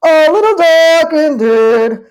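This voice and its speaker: A woman's voice: sits high, animated, darkish tone, voice pushed up. A woman voicing a disdainful, gossipy older female cat who drawls her words with scorn. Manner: haughty, drawn-out, scornful. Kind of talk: storybook character voice.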